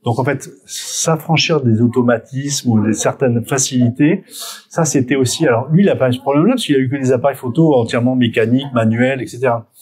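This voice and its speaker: A man's voice: medium pitch, a little fast, a little mellow. Middle-aged Frenchman reminiscing, hesitant and self-correcting. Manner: hesitant, self-correcting, with frequent word repetitions. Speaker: photographer being interviewed. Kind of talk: spontaneous interview answers, reminiscing.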